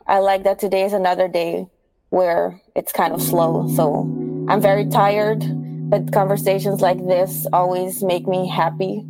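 A woman co-hosts a podcast in a casual tone and keeps the talk going with curious follow-up questions. Her voice is mid-pitched, and faint music plays in the background. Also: creaky, low-quality recording. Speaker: podcast co-host.

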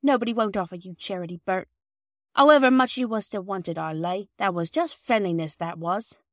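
A woman's voice: mid-range voice, fairly quick, fairly bright tone, dry recording, clean studio sound, close to an everyday voice. A woman voicing a firm, scolding working-class wife with blunt dialect speech in a story reading. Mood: exasperated.

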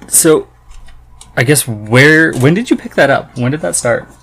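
A man's voice: medium pitch, expressive, a little slow, fairly bright tone. A man hosting a podcast in a casual, chatty style. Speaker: podcast host. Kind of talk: casual chat.

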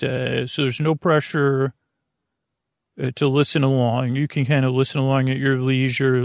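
Male bedtime podcast host, gravelly fry, dry close sound, rambling and self-interrupting delivery. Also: medium pitch, a little mellow, dry recording. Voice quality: creaky, gravelly. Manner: meandering, self-interrupting, trailing off mid-thought.

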